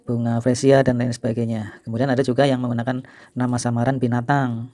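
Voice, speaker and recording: Middle aged guy telling old stories to his viewers, pretty monotone, soft dark tone, dry close sound. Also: mid-range voice, a little fast, dry recording. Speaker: video host and storyteller. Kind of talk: informal reminiscing narration to viewers.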